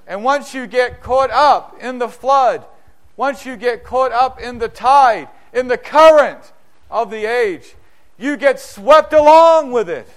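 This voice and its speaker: A man's voice: takes it slowly, smooth. New Zealand man preaching a sermon. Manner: swells loud and high on repeated phrases, drops low for warnings.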